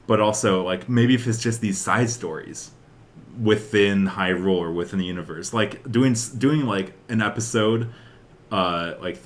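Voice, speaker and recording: A young man co-hosting a podcast, chatting casually about games and films in an enthusiastic, rambling way with hesitant phrasing. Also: deep voice. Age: young adult. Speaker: podcast co-host.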